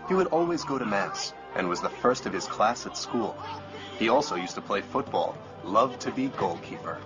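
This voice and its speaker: Man as a deep, reverent cartoon storyteller. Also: lively intonation, moderate pace, lightly acted. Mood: fond. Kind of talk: scripted cartoon narration. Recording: muffled lo-fi audio with loud music.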